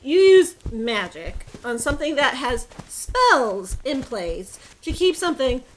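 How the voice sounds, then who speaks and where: Woman playing high, animated, confident schemer. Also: slow pace, heavily acted. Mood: amused, gloating.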